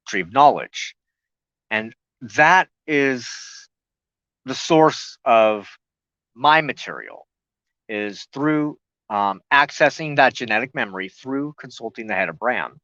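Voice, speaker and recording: A man giving an informal spoken teaching with personal reminiscence, halting, with frequent pauses and throat clearing. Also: mid-range voice, a bit bright. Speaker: host of a spiritual broadcast. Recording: muffled, echoey room recording.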